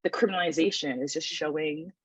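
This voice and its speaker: A woman's voice: sits low, a little fast, rough recording. A woman, a writer and researcher talking thoughtfully in a casual podcast chat, with many restarts and ums. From Toronto, Canada.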